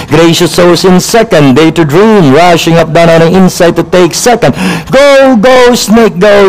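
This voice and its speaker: A man's voice: sits high, rough recording. A man calling a horse race in running live commentary, repeating runners' names as he tracks their positions.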